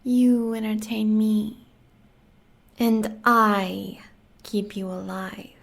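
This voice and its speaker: An American woman playing a sadistic, sweetly menacing werewolf huntress in an audio roleplay, often slipping toward a breathy whisper. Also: medium pitch, little pitch movement, extremely slow, full character voice.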